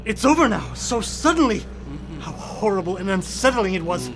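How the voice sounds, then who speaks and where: A man acting an earnest, anxious gentleman in a horror radio drama, speaking formally and dramatically. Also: high-pitched, sing-song, moderate pace, background noise. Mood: unsettled, fearful. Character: earnest, anxious gentleman recounting uncanny experiments.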